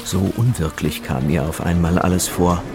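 Clear music runs under the narrator of a radio play. His voice is low and grave, telling a suspense story in scripted prose. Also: steady tone, moderate pace. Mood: measured, descriptive.

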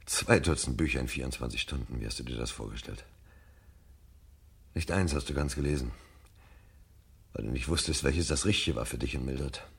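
A man's voice: a bass voice, moderate pace, gravelly, pauses often, husky, full character voice. A man acting a troubled, searching fireman with an agitated, brooding manner in a 1970s German radio drama. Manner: agitated, brooding, talks to himself. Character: troubled, searching fireman questioning his society.

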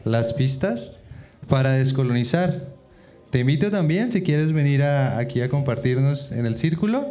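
A man moderating a radio discussion circle, inviting guests to speak in a relaxed, unhurried way. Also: medium pitch, faint music behind. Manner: relaxed, inviting, slow-paced questions.

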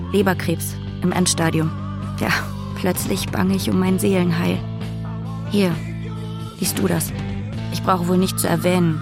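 Dying bitter woman, creaky, flat intonation, subdued, radio drama letter, guitar behind. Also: fairly low pitch. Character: dying, weary, bitter woman writing to old friend.

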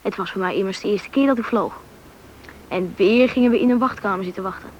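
A young performer playing a curious, eager Russian boy in a radio play, with a youthful, slightly husky voice, in a muffled old recording. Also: medium pitch, quick pace, slight background noise, natural voice. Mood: recounting. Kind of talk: radio play narration and dialogue.

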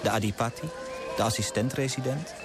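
A male actor plays an earnest young storyteller in a radio play. He narrates vividly in a mid-pitched voice, with music clearly playing underneath. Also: a little fast, close to an everyday voice. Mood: vivid, measured.

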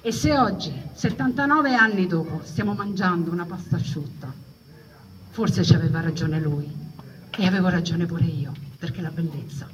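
A woman's voice: fairly low pitch, normal speed. A woman telling a story theatrically, as in a stage monologue, with dramatic, building repetition. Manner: dramatic delivery with repeated, building phrases. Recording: noisy, distant, dull lo-fi recording.

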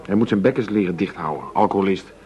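An actor in a radio drama playing a cocky, short-tempered underworld go-between with a blunt, streetwise way of talking. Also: male voice, low voice, fast, warm tone, muffled recording, natural voice. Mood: cocky, businesslike. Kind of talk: Dutch radio drama dialogue.

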